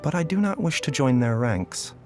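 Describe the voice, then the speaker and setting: An actor playing a gentle young doctor in a game, speaking in a calm, measured, reflective way. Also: male voice, medium pitch, faint music behind, lightly acted.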